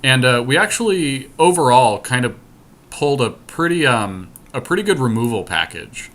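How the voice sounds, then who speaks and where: A man's voice: medium pitch, animated, normal speed, fairly bright tone. A man co-hosting a podcast with casual, unscripted commentary. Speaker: podcast co-host.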